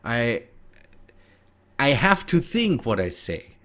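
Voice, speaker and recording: A man's voice: medium pitch, very animated, slow, fairly bright tone. A man with a German accent and German as his native language, giving casual gameplay commentary in a hesitant, thinking-aloud way with self-corrections. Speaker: gaming video host.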